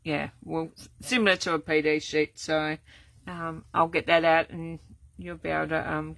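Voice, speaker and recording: An older woman, chatting casually through a live card-making demo, rambling with many ums and restarts, her voice going croaky. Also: low-pitched, fairly bright tone, dry recording. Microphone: camera set up at desk.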